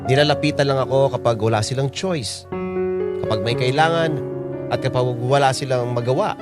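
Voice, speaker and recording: A man's voice: medium pitch, moderate pace, natural voice. An actor playing a hurt young man who confides, in radio drama narration, that he feels left out. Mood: wounded, reflective. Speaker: narrator and main character. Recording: loud music under the voice. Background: loud music.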